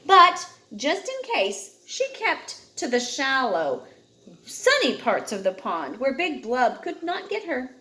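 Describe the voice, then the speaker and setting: A woman reads a picture book aloud with dramatic emphasis on key words. Her voice sits fairly high and swings widely in pitch. The room adds a noticeable echo around her expressive storytelling. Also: slow. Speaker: storyteller reading aloud to a child.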